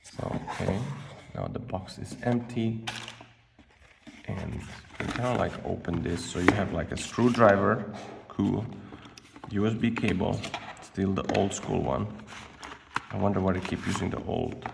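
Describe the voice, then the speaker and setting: Low, very creaky man, casually unboxing. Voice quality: gravelly, creaky. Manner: halting, thinking aloud. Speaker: vlogger presenting an unboxing. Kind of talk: casual unscripted unboxing commentary. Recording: distant mic, faint background noise.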